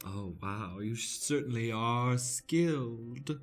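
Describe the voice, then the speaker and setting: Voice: medium pitch, slow pace, soft background music, lightly acted. An improv actor playing a flirty, scheming aging female movie star in a theatrical radio play, full of suggestive innuendo. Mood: seductive, scheming.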